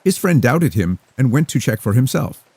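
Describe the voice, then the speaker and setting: A mysterious, otherworldly young woman, as a male reader puts her on, speaking in a formal, rhythmic cadence. The voice sits clearly above his own register, with a husky grain. The recording is dry and close. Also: medium pitch, very animated, no echo, voice pushed up, full character voice. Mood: calm. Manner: formal, rhythmic, unhurried delivery.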